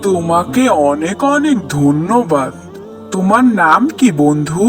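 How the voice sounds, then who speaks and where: A performer voicing a kindly, wise talking tree in a children's cartoon, calm and comforting. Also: mid-range voice, unhurried, lightly acted. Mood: friendly, thankful. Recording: music behind the voice.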